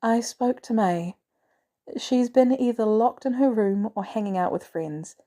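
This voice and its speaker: A woman voicing a poised, caring female executive with composed, gently teasing delivery in a story reading. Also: a little high, a little slow, close to an everyday voice. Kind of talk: storybook character dialogue.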